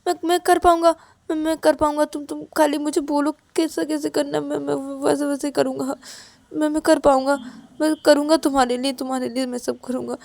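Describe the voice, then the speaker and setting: A woman's voice: high-pitched, little pitch movement, a little fast, vocal fry, few pauses, mellow, dry recording, pitched above the performer's own voice. A woman voicing a desperate, submissive young husband who stammers and pleads tearfully in an audio drama. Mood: eager, submissive pleading. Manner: stammering, repeating words.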